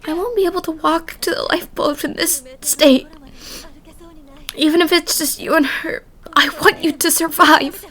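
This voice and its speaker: A woman playing a gentle, dying young mother who speaks haltingly and pleadingly in a dramatic fan dub. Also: very high voice, steady tone. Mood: weak, pleading.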